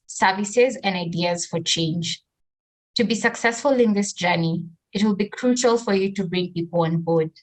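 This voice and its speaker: A woman of average pitch delivers a prepared motivational message. She speaks as a trainer addressing her trainees. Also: poor audio. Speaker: brand strategy trainer.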